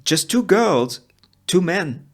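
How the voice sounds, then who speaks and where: A man's voice: high voice, big pitch swings, takes it slowly, pitched above the performer's own voice, exaggerated voice. A man voicing a nervous henchman in a western screenplay reading, giving short, urgent replies to his boss. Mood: nervous reporting.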